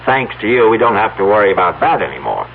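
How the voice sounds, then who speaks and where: An actor playing a desperate, pleading farmer in an old radio drama, speaking urgently and haltingly and repeating his words. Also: on the low side, big pitch swings, vocal fry. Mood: relieved, coaxing. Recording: tinny, noisy old radio broadcast recording.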